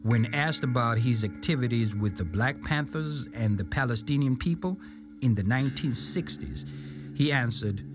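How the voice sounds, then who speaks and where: A man's voice: mid-range voice, lively intonation, normal speed. A man reads scripted documentary narration in the role of narrator. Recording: music behind the voice. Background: music.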